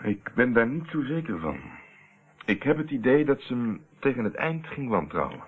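An actor playing a self-assured private investigator explaining a case in a Dutch radio play, on an old narrow-band recording. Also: male voice, mid-range voice, sing-song, normal speed, a little hiss or hum, lightly acted. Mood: pondering, explanatory.

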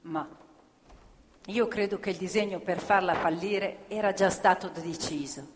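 A woman's voice: on the low side, slight background noise, natural voice. Actress playing a proud, grieving factory woman, echoing hall. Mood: bitter, explanatory. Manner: emphatic repetitions, deliberate phrasing. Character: former female factory worker, proud, grieving union militant. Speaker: stage actress in radio theatre. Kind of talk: dramatic theatrical monologue. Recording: reverberant theatre hall, music playing along. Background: live violin music.

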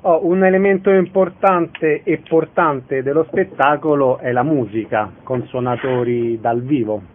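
A man's voice: medium pitch, measured pace, a little hiss or hum. A man working as a radio interviewer, speaking in a concise broadcast style. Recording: narrow, phone-like radio sound.

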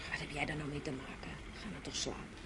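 A woman's voice: sits low, steady tone, fast talker. A tired wife and mother in a radio drama. She scolds and nags, dismissive and full of doubt. The old recording carries faint noise behind her. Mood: weary, grumbling. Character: tired, nagging, sceptical wife and young mother. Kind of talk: naturalistic Dutch radio play acting.

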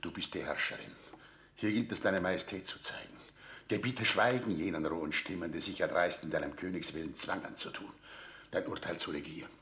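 An actor playing an aged, grave nobleman counsellor who pleads solemnly and urgently in a classical radio drama, with a gravelly voice. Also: low voice, fairly quick, bright, forceful tone, reverberant. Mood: urgent pleading. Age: older. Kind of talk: classical German stage-verse radio drama.

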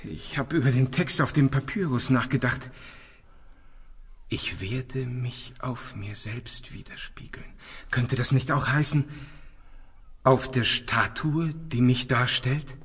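Husky, brooding archaeologist in cavernous reverb. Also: male voice, mid-range voice, takes it slowly, fairly bright tone, exaggerated voice. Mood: puzzled, frustrated. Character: brooding archaeologist puzzling over an ancient riddle. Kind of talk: radio play acting.

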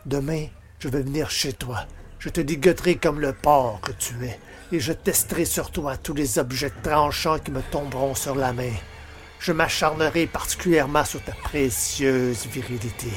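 An actor playing a bitter, vengeful village carpenter, speaking in a brooding, menacing monologue for a French audio drama. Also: male voice, medium pitch, moderate pace, soft background music, natural voice.

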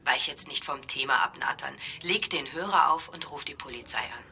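Bossy stern woman telling a kid off, tense lowish voice, faint noise, radio play. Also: a little fast, pushed and bright. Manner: firm, admonishing. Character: stern, commanding woman lecturing a runaway boy. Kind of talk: radio drama acting.

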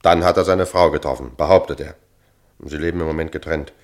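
An actor playing a seasoned, matter-of-fact police sergeant in a German crime radio play, measured, dryly cynical and authoritative. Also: male voice, a bass voice, steady tone. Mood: dry, cautioning.

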